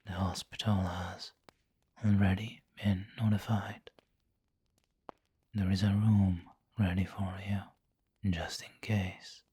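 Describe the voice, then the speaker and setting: A man playing a refined, doting vampire lord in an ASMR roleplay, whispering gently and intimately with seductive, protective care. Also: deep voice, level tone, heavily acted. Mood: soothing, protective. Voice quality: breathy, whispery. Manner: slow, gentle, intimate coaxing.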